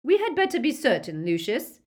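A woman voicing a cold, cautious, sharp aristocratic mother in an audiobook, with a clear voice. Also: mid-range voice, big pitch swings, slow pace, pitched above the performer's own voice, exaggerated voice. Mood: cold, wary. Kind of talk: audiobook character acting.

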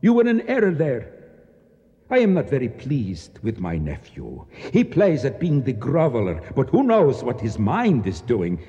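An actor playing a proud, bitter Egyptian nationalist official in a radio drama, speaking formally and precisely with declamatory flourishes. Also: male voice, medium pitch, wildly swooping pitch, normal speed, warm tone, husky. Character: proud, embittered, fanatical Egyptian nationalist official, courteous yet grim. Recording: dull sound with long echoing reverb.

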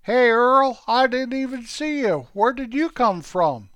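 A man's voice: very high-pitched, lively intonation, measured pace, fairly bright tone, no echo, voice pushed up, full character voice. A man voicing Forky, an eager, cheerful young boy who knows the tricks and keeps calling his pal by name. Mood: cheerful, proud. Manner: ends nearly every sentence with his pal's name.

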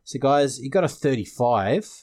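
A man's voice: medium pitch, animated, moderate pace, darkish tone. A man with an Australian accent hosting a casual fantasy sports panel discussion. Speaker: podcast host.

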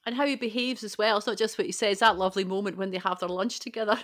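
A woman's voice: mid-range voice, steady tone, fast, a bit bright. A woman speaking as a panellist in a conversational, reflective podcast discussion.

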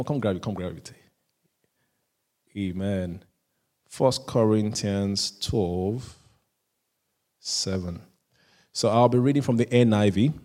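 A pastor preaching a sermon, often repeating and restarting phrases, and chuckling at himself now and then. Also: male voice, fairly low pitch. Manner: rises into louder, slower emphatic reading of scripture.

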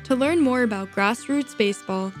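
A woman's voice: on the high side, normal speed, faint music behind. A woman reading a nonprofit announcement in a polished, upbeat way. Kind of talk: nonprofit public service announcement.

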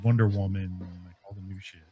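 A man's voice: sits low, little pitch movement, brisk, highs cut off, slight background noise. A man co-hosting a podcast, chatting casually and informally about fan topics, with some swearing. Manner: informal, swearing, filler-heavy. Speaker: podcast co-host. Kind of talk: casual fan chat about comics and movies.

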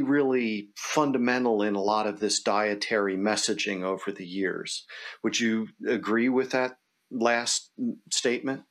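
A man speaking conversationally and reflectively, thinking aloud in a halting way as he puts questions to a guest. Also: on the low side, fairly bright tone.

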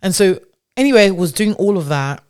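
A woman's voice: mid-range voice, expressive, brisk, a little mellow, clean tone. A woman who grew up in Nigeria, a tech founder talking as a podcast guest in a chatty way, with many fillers and restarts. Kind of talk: casual interview storytelling.

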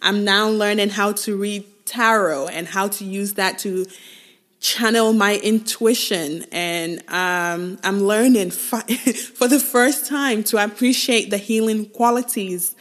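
A young woman from West Africa speaks at an unhurried, slow pace in a reflective personal monologue. She is thoughtful and halting, often circling back and repeating words before finishing a thought. Her voice sits at an ordinary middle pitch. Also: fairly even intonation, a bit bright. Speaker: podcast host.

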